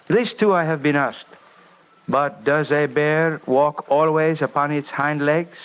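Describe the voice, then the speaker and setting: An actor playing a grave, earnest Sherpa guide in an old radio drama, speaking in formal, measured phrases. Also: male voice, a little high, a bit bright, clean tone. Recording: noisy, muffled old radio recording.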